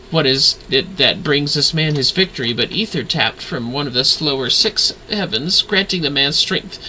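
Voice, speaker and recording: A man acts out a stern, earnest young astrologian from a fantasy video game. The voice is fairly high and very bright, with formal, measured, instructive phrasing and a protective streak. Faint noise sits behind it. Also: poor audio. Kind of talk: dramatic read-aloud of fantasy game dialogue.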